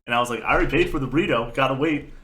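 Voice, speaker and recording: A young man co-hosting a casual gaming podcast, telling a rambling, animated story while getting over being sick. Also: medium pitch, racing, slight background noise. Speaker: podcast co-host.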